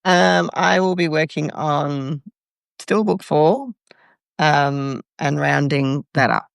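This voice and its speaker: A woman with a gravelly edge to her voice chats in a relaxed, agreeable way and laughs easily. Her voice is dry and close, with no echo. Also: deep voice, slow pace. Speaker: podcast co-host. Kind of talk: casual chat.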